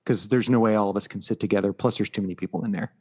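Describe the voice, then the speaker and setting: A man's voice: lowish voice, steady tone, rapid-fire, darkish tone, slightly rough. Podcast co-host: middle-aged American man, casual, jokey, conversational delivery. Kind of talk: casual chat about movies and pop culture.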